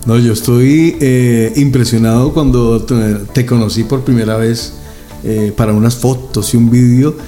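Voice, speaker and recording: A man hosts a radio interview in a warm, mellow voice. He talks with enthusiasm, throws in exclamations and pauses on hesitant fillers, while soft music plays faintly underneath. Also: medium pitch, fairly even intonation. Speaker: radio host.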